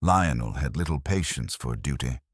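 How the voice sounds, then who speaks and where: A man voicing a composed, proud, sharp-tongued woman suspect in a mystery story, speaking formally and sardonically. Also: a bass voice, lively intonation, moderate pace, no room sound, studio quality. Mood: sharp, bitter.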